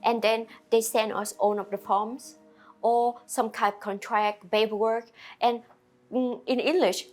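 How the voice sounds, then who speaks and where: Vietnamese-accented woman, halting English. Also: fairly high pitch, fairly even intonation, soft background music. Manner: halting, simple non-native phrasing, many fillers. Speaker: restaurant owner. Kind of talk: interview answers to camera.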